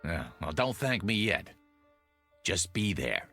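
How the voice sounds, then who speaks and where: Pleading showbiz agent, super animated up and down voice, old tv show, bit of music. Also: male voice, low voice, takes it slowly, heavily acted. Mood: urging, upbeat. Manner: emphatic, cajoling, placating. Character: loyal, coaxing male Hollywood talent agent. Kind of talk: mid-century television drama acting. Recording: vintage TV soundtrack with music.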